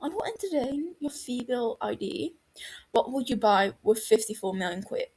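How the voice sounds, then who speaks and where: A woman's voice: on the high side, very animated, moderate pace, a little mellow, slightly rough, voice pushed up, heavily acted, poor audio. A woman voicing a cynical, sardonic, exasperated British man in his twenties in an audio story. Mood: exasperated, dry. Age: 20s. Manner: sardonic, sighing, withering. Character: dry, exasperated, cynical young British man, reserved realist. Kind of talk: fan fiction audio story dialogue.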